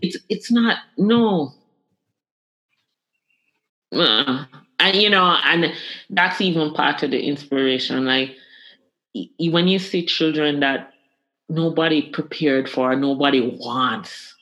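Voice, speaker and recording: A Jamaican woman speaks as an interview guest, her bright, forceful voice full of energy. She repeats words for emphasis and often laughs in the middle of a point. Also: low-pitched, slow pace, pauses often, rough recording. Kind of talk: candid interview conversation.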